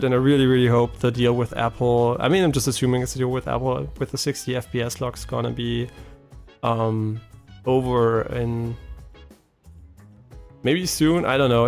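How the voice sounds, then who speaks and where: A man talks loosely as he plays, wandering between thoughts and tagging "right?" onto his sentences. His voice has a gravelly, fried edge at an ordinary male pitch. Soft game music sits faintly behind him. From German-speaking, half French. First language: German. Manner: rambling, many ums and restarts, keeps asking "right?". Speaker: gaming streamer. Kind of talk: casual live-stream chat while gaming.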